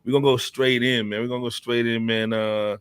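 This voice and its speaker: American guy hosting a hip hop chat show, slangy, says man a lot, mid pitch, dry sound. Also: level tone, brisk, fairly bright tone, smooth, no room sound. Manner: slangy, often punctuates phrases with "man". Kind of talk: casual panel chat about hip hop.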